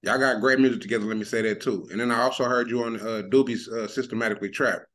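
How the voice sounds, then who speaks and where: A man's voice: mid-range voice, fairly even intonation, quick pace, a bit bright. A man with an Oklahoma accent hosting a casual podcast, easygoing and conversational, often saying "you know what I'm saying.". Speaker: podcast host.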